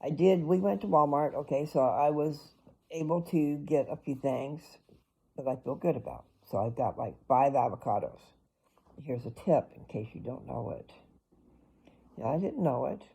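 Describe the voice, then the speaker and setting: Gravelly, husky older woman vlogging. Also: sits low, expressive, moderate pace, warm tone, poor audio. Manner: rambling, chatty, talks straight to viewers. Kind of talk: casual personal vlog.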